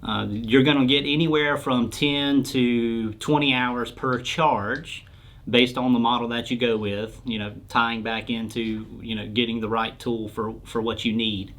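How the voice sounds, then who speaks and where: A man speaks at a middling pitch in an informal interview. He explains things plainly and practically, as a product specialist answering a customer's basic questions. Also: fairly even intonation, unhurried, fairly bright tone. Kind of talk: casual explanatory interview talk.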